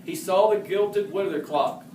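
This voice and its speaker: A man's voice: sits high, measured pace, warm tone. A man reciting a narrative poem as an amateur reader, occasionally stumbling over harder words and correcting himself. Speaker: amateur reader in the role of a historical horseman. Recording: roomy, distant mic, faint background noise.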